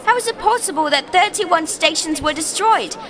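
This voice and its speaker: Persistent press reporter, sci-fi audio drama, very high pitch, pressing, crowd behind. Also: female voice, lively intonation, normal speed. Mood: probing. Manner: pressing, insistent, articulate. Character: persistent, probing female reporter at press conference. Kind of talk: science fiction audio drama acting. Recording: crowd murmur of a press room.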